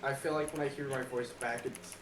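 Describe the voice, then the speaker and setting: A man's voice: mid-range voice, fairly even intonation, brisk. A man with a nasal, stuffy voice chatting casually on a call, rambling with lots of fillers. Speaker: co-host on a call. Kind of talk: casual chat. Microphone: old webcam microphone. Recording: distant echoey webcam mic with noise.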